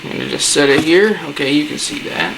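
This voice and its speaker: A man's voice: on the high side, very animated, quick pace, vocal fry. A man presenting a casual, hands-on DIY tutorial with informal, slangy, laid-back phrasing. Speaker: presenter of a DIY how-to video. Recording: noticeable background noise.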